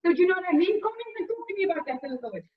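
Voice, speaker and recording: High-voiced Cape Town woman on a video call. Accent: Cape Town South African. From Cape Town, South Africa. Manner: lively, quick restarts and repeated words. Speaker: host. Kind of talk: casual conversation. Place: small indoor room. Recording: dull lo-fi video call audio.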